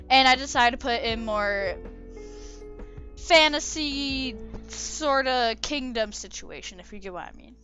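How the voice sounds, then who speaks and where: A woman's voice: sits high, pushed and bright, muffled recording. A woman chatting casually about her own drawing over a speedpaint video, rambling and often correcting herself. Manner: rambling, hesitant, self-correcting. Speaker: artist commenting on own drawing. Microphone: desk microphone.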